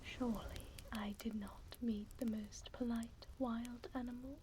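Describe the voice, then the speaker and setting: A woman playing a gentle, regal vampire duchess in an ASMR roleplay, speaking softly and formally in a soothing whisper, close to the microphone. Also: a little high, fairly even intonation, background noise, natural voice. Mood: tender, curious. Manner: soft, soothing, formal and unhurried. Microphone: close binaural ASMR microphone.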